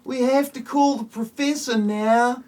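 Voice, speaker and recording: A woman's voice: on the high side, steady tone, unhurried, darkish tone, close to an everyday voice, poor audio. A woman voicing an earnest, awestruck youngster in a parody audio play. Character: earnest, awestruck young member of a gang of kids.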